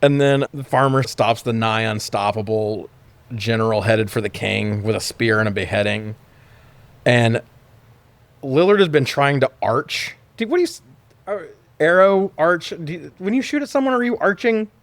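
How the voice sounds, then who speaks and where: A man's voice: mid-range voice, lively intonation, moderate pace, no echo. A man co-hosting a podcast, recapping a film in casual, jokey chat with plenty of swearing. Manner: jokey, irreverent, profane. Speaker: podcast co-host reviewing movies.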